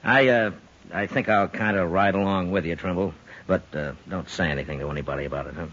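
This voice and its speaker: An actor playing a stern, weary, no-nonsense American frontier marshal in a 1950s radio western, terse and dry. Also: male voice, low voice, quick pace, fairly bright tone. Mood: grave. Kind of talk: 1950s radio drama acting. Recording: muffled 1950s radio recording.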